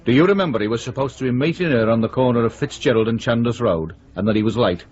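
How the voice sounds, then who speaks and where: An actor playing a shrewd, ambitious police detective in a radio drama, dry, matter-of-fact and sure of himself. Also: male voice, mid-range voice, fast, darkish tone, muffled recording, close to an everyday voice. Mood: keen, persuasive.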